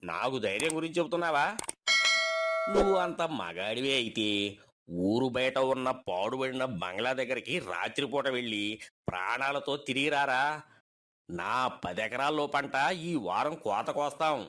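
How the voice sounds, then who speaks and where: Man acting boastful village farmer, animated pitch. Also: moderate pace, close to an everyday voice. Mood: mocking, challenging. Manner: mocking, challenging. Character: taunting, boastful village farmer, frightened by ghost rumours.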